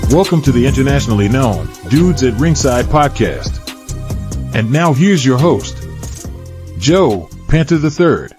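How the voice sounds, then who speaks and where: A man putting on a booming announcer voice to introduce a wrestling podcast and its host. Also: sing-song, unhurried. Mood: hyped. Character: booming male show announcer. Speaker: show announcer. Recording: voice over loud intro music.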